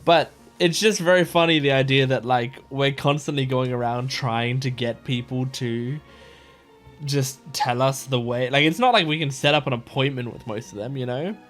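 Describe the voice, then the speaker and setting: A man's voice: mid-range voice, moderate pace, fairly bright tone. A man from Australia giving casual, joking reaction commentary over a video game, sometimes reading text aloud. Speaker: gaming streamer. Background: faint game music.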